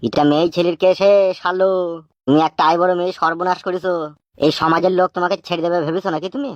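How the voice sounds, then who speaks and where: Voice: mid-range voice, fairly even intonation, fast talker, dry recording, lo-fi sound, close to an everyday voice. A performer voicing an anxious, nagging old father in a comic cartoon, grumbling and scolding. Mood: exasperated, scolding. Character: exasperated, foul-mouthed old village father, anxious and nagging.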